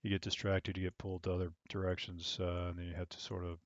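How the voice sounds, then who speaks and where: A young man at a home desk on a headset, calmly giving controller radio calls and explaining his plans in a low-key way. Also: fast, no room sound, muffled recording. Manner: calm, low-key, thinking aloud with fillers. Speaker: online air traffic controller streamer.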